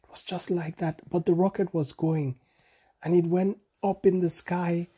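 Very dark, husky storytelling father. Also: high voice, level tone, measured pace. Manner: simple repetitive phrasing, prompts the child with questions. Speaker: father telling a bedtime story. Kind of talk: improvised children's storytelling.

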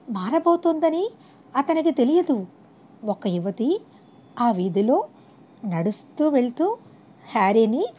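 A woman narrating a scripted moral story as an audiobook narrator, on a dull, lo-fi recording. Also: sits high.

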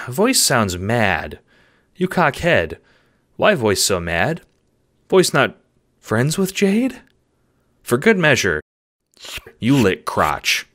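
Man playing an excitable, simple-minded dog, swooping pitch. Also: extremely slow, long pauses, heavily acted. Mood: baffled, amused. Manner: broken baby-talk sentences, thinking aloud with barks.

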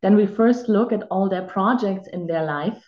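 A woman's voice: mid-range voice, normal speed. Native German woman in her 30s, chatty interview guest, roomy dull call audio. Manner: reflective, chatty, many filler "like"s. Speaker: actress and life coach. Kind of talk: casual video-call interview. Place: home room with bare wall. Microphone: video call.

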